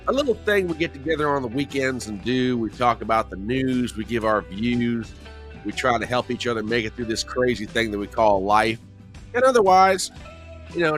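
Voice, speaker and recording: A middle-aged man hosting a casual livestream, chatty and jokey, a little hoarse and nasal from a cold. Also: mid-range voice, pushed and bright. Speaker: host.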